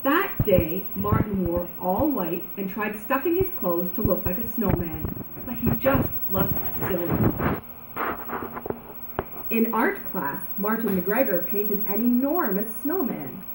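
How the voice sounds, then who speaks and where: A woman narrating a children's story aloud in an animated, dramatic way, drawing out words for emphasis. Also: mid-range voice, takes it slowly. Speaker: storybook reader. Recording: roomy echo, background noise.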